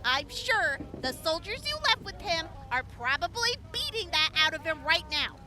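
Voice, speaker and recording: A brisk field doctor, voiced by a woman in an audio drama. She speaks in a very high voice and in a matter-of-fact way. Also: very animated. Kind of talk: fan audio drama acting.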